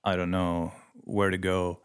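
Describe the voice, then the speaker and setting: A man's voice: deep voice, measured pace, clean tone. A man in his thirties from Sweden with a Swedish accent, chatting casually as an interview guest and filling his talk with "like" and "you know.". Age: 30s. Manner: relaxed, many fillers like "like" and "you know". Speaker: guest, missionary pilot.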